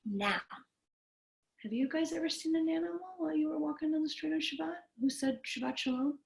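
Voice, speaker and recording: A woman's voice: high voice, a little fast, gravelly, echoey room, faint music behind. A woman cantor leading a children's story time, talking simply to young listeners and asking them questions.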